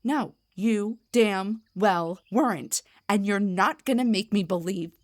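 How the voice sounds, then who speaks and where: A woman voicing an indignant, defensive half-drow bard in a scripted fantasy roleplay audio, with a breathy, theatrical delivery. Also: mid-range voice, takes it slowly, natural voice. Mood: scornful, incredulous. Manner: theatrical, sarcastic, emphatic, stammers when rattled. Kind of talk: scripted roleplay monologue, one side of a conversation.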